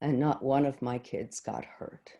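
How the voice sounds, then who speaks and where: A woman performing a dramatic monologue as a shaken schoolteacher, husky and halting, on a video call. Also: rumbling low, steady tone, mellow. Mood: grim, resolute. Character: shaken woman teacher recounting shielding her kids from a gunman. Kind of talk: dramatic monologue, staged reading. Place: remote reading over video call.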